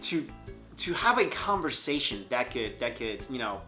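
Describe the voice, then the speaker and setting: A man's voice: medium pitch, very animated, moderate pace, sharp tone, faint music behind. A young man co-hosting a casual chat, giving opinions earnestly and repeating phrases as he reasons. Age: young adult. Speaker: co-host of a news chat show. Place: small furnished room indoors, on a couch.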